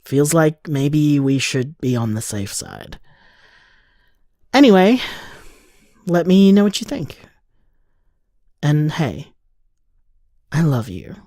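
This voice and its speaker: A man's voice: a little high, expressive, slow pace, long pauses, warm tone, no echo, clean studio sound. An actor playing an affectionate, easygoing, thoughtful man, musing casually in a naturalistic audio drama voicemail. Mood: relaxed, fond.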